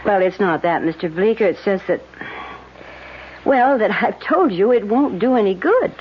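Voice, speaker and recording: Expressive wisecracking secretary played by a woman. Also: medium pitch, normal speed, close to an everyday voice. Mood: polite. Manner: teasing, expressive. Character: lively, wisecracking, caring secretary to a lawyer. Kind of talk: vintage radio drama acting. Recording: dull, noisy old radio recording.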